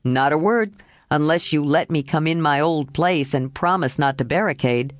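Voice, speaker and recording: A woman reading aloud and voicing a mischievous, boyishly charming young man, lowering her voice in a playful, sheepish way. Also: normal speed, no room sound, close to an everyday voice. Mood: fond.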